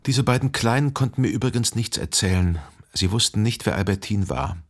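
Brooding jealous narrator in a radio play, lowish husky voice, thinks slowly out loud. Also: male voice, animated, soft background music. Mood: wistful, reflective. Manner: reflective, measured, long deliberate sentences. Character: brooding, jealous, introspective male first-person narrator in love. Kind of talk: literary radio drama narration, first person.

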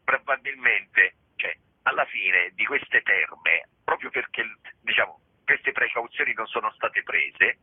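A man's voice: medium pitch, animated, unhurried, husky, no echo. A man, a theatre director talking through his show in a radio interview, reflective and digressive with many restarts, over a phone line. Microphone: telephone.

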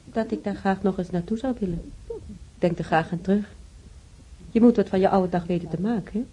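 An actress playing a stubborn, wistful elderly hospital patient in a radio drama, speaking plainly in short phrases. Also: female voice, medium pitch, a little fast, warm tone, slight background noise, close to an everyday voice, low-quality recording. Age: older. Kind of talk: naturalistic radio drama dialogue.

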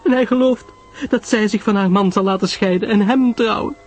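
Man acting high female voice, distraught, pleading, stammering, breathless, husky, storybook drama, music behind. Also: a little fast, a little mellow. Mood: anguished. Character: distraught, pleading, tearful small woman in despair.

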